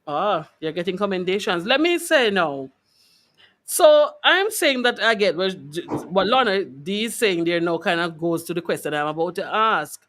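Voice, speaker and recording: A woman hosting a live radio discussion in a conversational, deliberate way, rephrasing points for clarity. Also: fairly low pitch, expressive, a bit bright. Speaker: radio show host.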